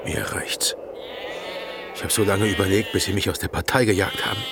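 An actor playing a proud, hurt and stubborn shepherd in a radio drama, speaking curtly and defiantly. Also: male voice, low-pitched, steady tone, brisk, vocal fry, noisy background. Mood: stubborn, bitter. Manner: curt, defiant, blunt. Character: proud, stubborn, hurt shepherd rejecting his old friend. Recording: outdoor scene with bleating sheep behind.

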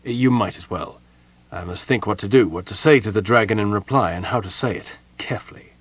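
A man voicing a stern, embittered marshal in an audiobook, commanding and grimly forceful. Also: fairly low pitch, brisk, breathy edge, no echo. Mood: careful, tense. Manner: barking orders, grimly forceful.

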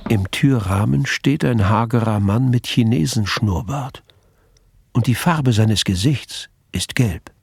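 A man with a gravelly, husky voice giving scripted narration in a radio drama. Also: mid-range voice, takes it slowly, faint music behind.

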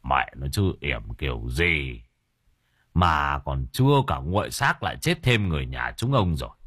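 A man's voice: extremely low pitch, big pitch swings, unhurried, a bit bright, slightly rough, dry recording, clean studio sound, pitched below the performer's own voice, heavily acted. A man voicing an angry old villager growling curses at a failed sorcerer. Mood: angry cursing. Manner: growling, cursing.

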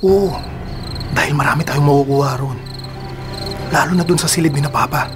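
An actor playing a young adopted son, brooding and resentful, in a Filipino radio drama. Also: male voice, fairly high pitch, fairly even intonation, a little fast, natural voice. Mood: determined. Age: young adult. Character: resentful adopted son plotting a revenge robbery. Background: dramatic music.

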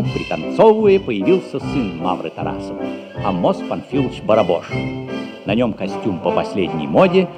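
A male narrator with a fairly low voice reads scripted description for a stage play. His pitch moves in a lively way. Music plays loudly behind him. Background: loud music.

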